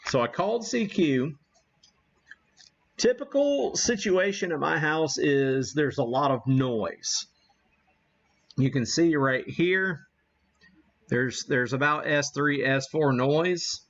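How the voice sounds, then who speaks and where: A man hosting a casual, unscripted live radio-hobby demo, thinking aloud in a halting way as he works the equipment. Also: a little high, expressive, muffled recording. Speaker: livestream host, amateur radio operator.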